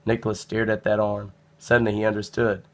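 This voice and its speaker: A man narrating a children's storybook in an expressive read-aloud style. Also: lowish voice, fairly quick.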